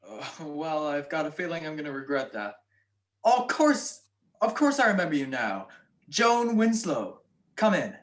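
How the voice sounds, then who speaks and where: A man playing a wry, weary gentleman detective who loves his sleep, in 1940s radio comedy style. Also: on the high side, very animated, normal speed. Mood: hesitant.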